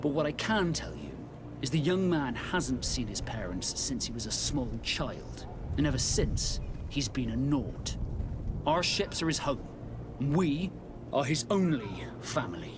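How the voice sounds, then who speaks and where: An actor playing a stern, proud sea captain in a video game, speaking in a terse, measured, deliberate way. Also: male voice, mid-range voice, very animated, music in the background. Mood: proud, insistent. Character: stern, proud male sea captain, fiercely loyal to crew. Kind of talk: dramatic video game dialogue.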